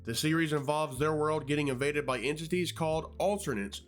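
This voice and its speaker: A man with a fairly high voice narrates a YouTube video essay. His delivery is conversational with a playful streak, and music plays faintly behind him. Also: brisk. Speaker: YouTube host.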